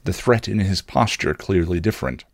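A man reading fan fiction aloud as expressive story narration. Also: low-pitched, moderate pace, creaky, no room sound, studio quality.

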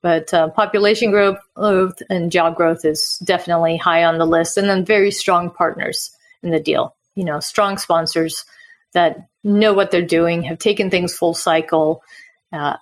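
A woman's voice: on the low side, fairly even intonation, moderate pace. A woman from Sweden who moved to the US as a child, explaining things conversationally as an investor guest in an interview. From Sweden, moved to the US at nine. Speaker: podcast guest, real estate investor. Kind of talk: casual interview answers.

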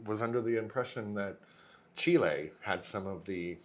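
Low-voiced male interview host. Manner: friendly, quick follow-up questions. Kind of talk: casual interview chat.

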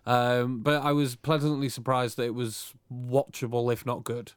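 A man's voice: medium pitch, flat delivery, moderate pace, clear, resonant tone, dry recording, clean studio sound. A man co-hosting a podcast, rambling in an easygoing casual chat. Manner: rambling, easygoing, restarts his thoughts. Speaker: podcast co-host.

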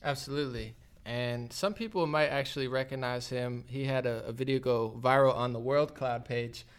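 A man's voice: mid-range voice, steady tone, normal speed, clean tone. A man hosting a podcast, talking in a casual, conversational interview style. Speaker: podcast host.

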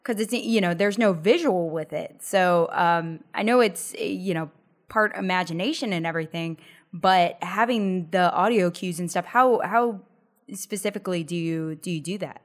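A woman's voice: on the low side, moderate pace. A woman co-hosting a podcast, asking questions hesitantly with many fillers. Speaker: podcast co-host. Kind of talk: casual chat.

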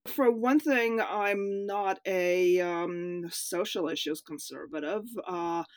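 A woman's voice: lowish voice, slow pace, no echo. A woman in her fifties from Russia, a writer answering interview questions hesitantly, with many fillers and laughs. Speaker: writer, guest.

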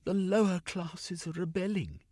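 A man voicing a fussy upper-class gentleman, high excitable voice, husky edge, storybook reading. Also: unhurried, no echo, studio quality. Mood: alarmed. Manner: alarmed, excitable exclamations. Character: fretful genteel gentleman of the house.

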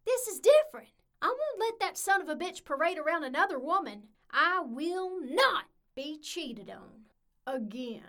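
Hot-headed young woman, vengeful, bright high voice, very animated, audio drama. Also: unhurried, exaggerated voice. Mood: furious.

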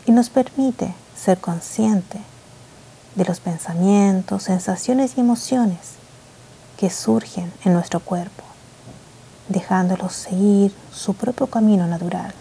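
A woman meditation guide speaks in a very dark, soft tone with a creaky edge. She delivers scripted instructions slowly, in short phrases with gentle pauses. Noticeable ambient noise sits behind her voice. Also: medium pitch, rough recording.

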